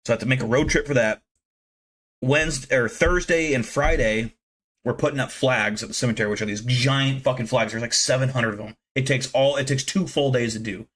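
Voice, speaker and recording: Thirties male streamer, loose profane chatter, mid-range pitch, self-deprecating. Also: brisk, fairly bright tone. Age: 30s. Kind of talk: casual live-stream chat with viewers.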